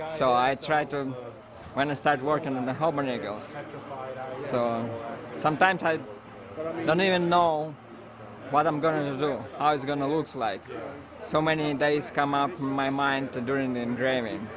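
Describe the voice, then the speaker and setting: A man from Russia with a Russian accent, talking casually and haltingly as he searches for words in English. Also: mid-range voice, fairly even intonation. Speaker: engraver, coin carver. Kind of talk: casual interview talk. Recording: busy outdoor crowd chatter behind.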